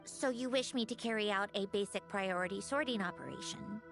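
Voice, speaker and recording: A woman's voice: mid-range voice, fairly quick, music in the background, lightly acted. A woman playing a prickly, guarded young female machine being in a video game, haughty and precise in her wording. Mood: flat, puzzled. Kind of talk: video game character acting.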